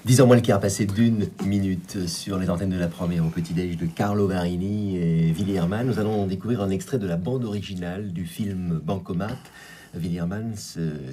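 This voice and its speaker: A man's voice: deep voice, mellow, a little hiss or hum. A man hosting a radio interview, asking long, formal, winding questions. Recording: radio studio.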